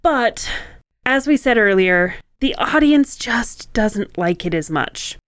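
A woman's voice: a little high, expressive, takes it slowly, fairly bright tone, dry recording, clean studio sound. A woman co-hosting a writing podcast, explaining points in a conversational way.

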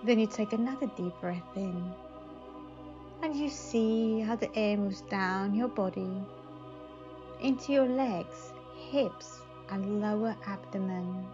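A woman leading a guided meditation in a soothing manner, speaking in short phrases with long pauses. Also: medium pitch. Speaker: psychic advisor, meditation guide. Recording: slightly dull audio. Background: faint music.

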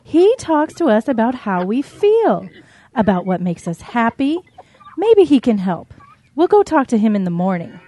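A woman's voice: a little high, sing-song, moderate pace, warm tone. A woman voicing a fretful, caring mother cow in an audio drama, motherly and exclaiming. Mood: concerned.

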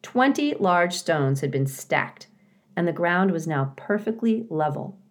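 Low-voiced woman reading a story aloud. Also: darkish tone. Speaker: storybook reader. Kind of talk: expressive read-aloud narration of a children's novel.

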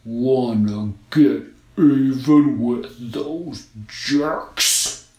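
A woman's voice: a bass voice, very slow, a little mellow, reverberant, full character voice. Slurred, hearty talking snowman. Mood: sly. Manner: hearty, bossy. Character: hearty, slurring talking snowman, mischievous false best friend.

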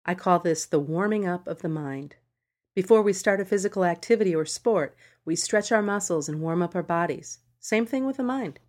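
A woman podcast host reading scripted meditation guidance in a measured, instructional manner. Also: fairly low pitch, a little mellow. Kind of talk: scripted narration of a meditation article.

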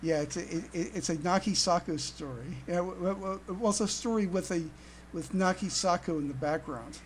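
A woman co-hosting a casual podcast, halting and often stammering over repeated words. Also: on the low side, a little hiss or hum. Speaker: podcast co-host.

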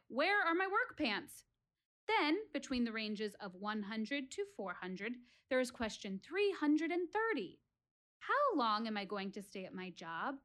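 A young woman played by an actress searches her life for answers in a stage-style audio monologue. Her voice is high and swings widely in pitch. She delivers each thought with deliberate, anxious, questioning drama. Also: a bit bright. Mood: uneasy, wry. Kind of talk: theatrical one-woman-show monologue, audio play.

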